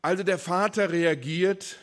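A man preaches with earnest emphasis. His voice sits a little high for a man, and he slows down and sinks low on the words he wants to stress. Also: fairly even intonation, fairly bright tone. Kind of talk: sermon.

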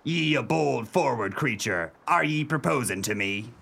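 Gravelly peg-legged pirate. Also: male voice, medium pitch, expressive, measured pace, a bit bright, full character voice. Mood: dismissive. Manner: broad pirate dialect with growled exclamations. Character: gruff peg-legged male pirate, suspicious and vain. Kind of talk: comic radio play acting.

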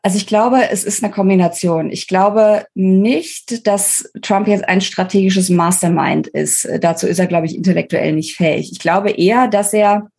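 Woman explaining politics, slightly husky voice. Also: mid-range voice, normal speed, few pauses, a little mellow. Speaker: interview guest, author of a political book. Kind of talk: conversational political analysis in a podcast interview.

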